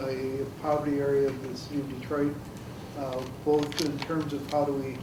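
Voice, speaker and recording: A man informally introducing himself and his work to a group, speaking a little haltingly. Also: mid-range voice, level tone. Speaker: community organization worker, workshop participant. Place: large echoey room, mic at a distance. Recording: echoey room, distant mic, clear background noise.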